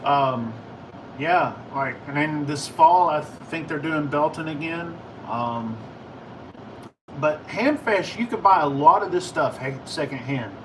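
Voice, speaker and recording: American man, higher-pitched, rambling on a livestream. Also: a bit bright, distant mic, a little hiss or hum, rough recording. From United States. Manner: rambling, informal, halting. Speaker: livestream host, ham and CB radio hobbyist. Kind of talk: casual livestream chat answering viewer questions.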